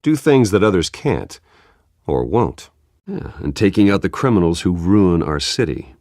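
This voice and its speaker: An actor playing a reserved wealthy philanthropist in a video game, speaking in a measured, polite, guarded way. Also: male voice, low voice, sing-song, darkish tone, no room sound, studio quality, exaggerated voice. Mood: persuasive. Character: reserved wealthy man, smooth charming philanthropist host.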